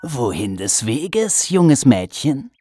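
A woman voicing a big, sly male wolf villain in a fairy tale, slow and insinuating with false politeness. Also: rumbling low, big pitch swings, over loud music, voice pushed down, full character voice.

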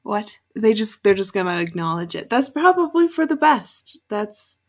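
A woman's voice: mid-range voice, big pitch swings, moderate pace. A young woman giving casual, sweary reaction commentary in a room at home. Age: young adult. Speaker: reaction video host. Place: small furnished room at home, indoors.

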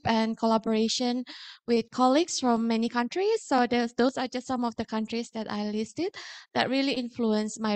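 A middle-aged Indonesian woman speaks as a guest lecturer, her voice set a little high. She talks casually and modestly, filling pauses with ums. The sound is dry and close on a handheld mic. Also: clean tone, no room sound. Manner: chatty, self-deprecating, many fillers. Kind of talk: public lecture. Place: lecture hall.

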